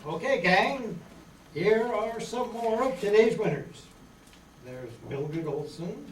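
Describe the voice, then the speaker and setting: Peppy kids' contest host played by a woman, swinging pitch, old-radio style, echoey. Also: lively intonation, slow. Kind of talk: amateur radio drama reenactment. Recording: far, echoey room, faint noise.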